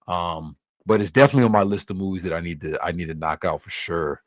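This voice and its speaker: A man's voice: deep voice, quick pace, no room sound, clean studio sound. Laid-back young man chatting on a livestream. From Cameroon. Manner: laid-back, loose, filler-heavy with frequent restarts. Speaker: livestream host. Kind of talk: casual livestream chat answering comments.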